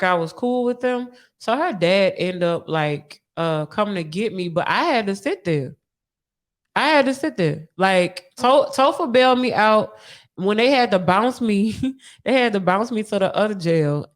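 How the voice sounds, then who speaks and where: A woman telling a personal story in casual, animated podcast style, with candid slang and frequent swearing. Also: mid-range voice, a little slow.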